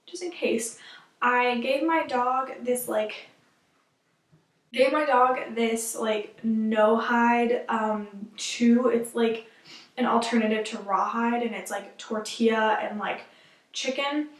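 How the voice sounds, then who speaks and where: A woman's voice: a little high, fairly even intonation, slow. A young woman vlogging at home in casual chat, rambling with lots of "like" and fillers, a little sniffly from allergies. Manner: rambling, filler-heavy, frequent "like". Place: room at home. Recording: echoey room, camera a bit far.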